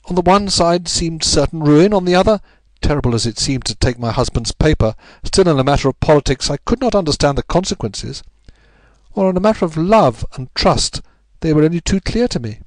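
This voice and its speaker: Distraught posh english lady, done by a guy reading a story, high voice, pleading, breathless, swoops around a lot. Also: lively intonation, no room sound, lightly acted. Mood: anguished confession. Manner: breathless, melodramatic, halting repetitions. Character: distraught, pleading upper-class married Englishwoman.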